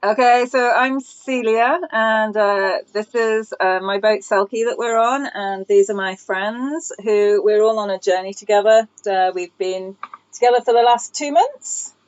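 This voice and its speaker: A woman's voice: mid-range voice, measured pace, clean tone. A middle-aged woman chatting casually as a podcast guest, a boat skipper, on a slightly lo-fi recording. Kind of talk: casual interview chat.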